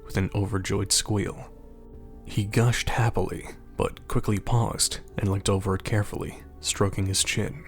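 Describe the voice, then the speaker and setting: A man narrating an audio drama in the third person in a calm, steady way. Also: on the low side, a little slow, faint music behind.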